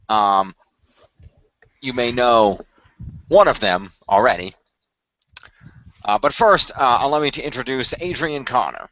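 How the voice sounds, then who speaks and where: A man playing a measured, formal leader of a hero team in an amateur radio play, wordy and hesitant. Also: medium pitch, sharp tone, no echo, highs cut off. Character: measured, formal male team leader briefing young demon-fighting heroes.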